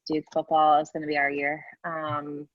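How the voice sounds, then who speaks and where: A young woman introduces herself on a video call, hesitant, with frequent ums. Her voice sits a little low, and the sound is dry and muffled. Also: completely flat delivery, moderate pace, no room sound. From Boston, Massachusetts. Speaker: medical student. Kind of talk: casual self-introduction.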